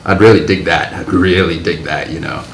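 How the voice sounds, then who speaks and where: A man playing an exuberant, slangy 1950s hipster writer who talks in jazzy, animated bursts. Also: deep voice, moderate pace, slight background noise, close to an everyday voice. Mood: jazzy, excited. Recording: roomy echo.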